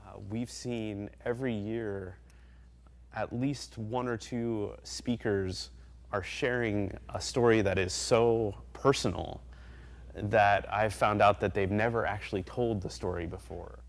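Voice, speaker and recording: Sex: male. Role: student adviser. Style: video interview. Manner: calm and reflective. Pitch: low. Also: slow, gravelly.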